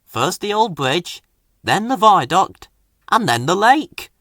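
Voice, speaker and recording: Male narrator, children's storybook, expressive, bright voice, unhurried, close dry sound. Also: sits high, dry recording. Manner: expressive, dramatic read-aloud.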